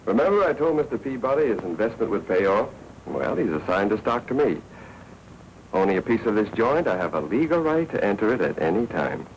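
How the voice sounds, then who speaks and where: A commanding, fearless American newspaperman from a 1940s crime film. His delivery is brisk and firm, with a wide-moving melody. The old soundtrack has slight noise. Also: fairly low pitch, sing-song, dull audio. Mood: defiant.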